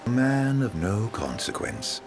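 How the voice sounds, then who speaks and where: An actor playing a suave, enigmatic traveling man in a video game, with an arch, theatrical, unhurried delivery. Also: medium pitch, sing-song, music in the background. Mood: sly, teasing. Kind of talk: video game cutscene acting.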